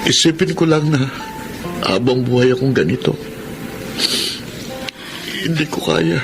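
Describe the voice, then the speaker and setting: An actor playing an emotional, remorseful philandering husband in a Filipino radio drama. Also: male voice, on the high side, a little slow, gravelly, low-quality recording. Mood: despondent. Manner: emotional, pleading. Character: remorseful philandering husband, blinded in a car crash. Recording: loud dramatic music behind the voice. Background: loud music.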